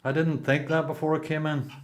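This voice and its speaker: A man's voice: fairly high pitch, little pitch movement, a little fast, natural voice. A man acting a seasoned, reflective prisoner in a radio play, questioning and sure of himself.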